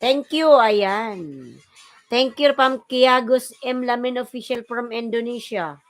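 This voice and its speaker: Woman, Filipino accent, high-pitched voice, unhurried pace, casual livestream host, repetitive greetings and shout-outs. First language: Tagalog. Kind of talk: casual live-stream chat, greeting viewers and reading comments.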